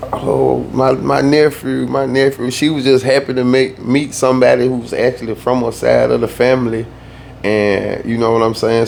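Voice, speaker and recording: A young man from the Southern US telling a family story in a laid-back, slangy way that turns animated when he gets worked up. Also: medium pitch, creaky, slight background noise. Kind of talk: casual storytime.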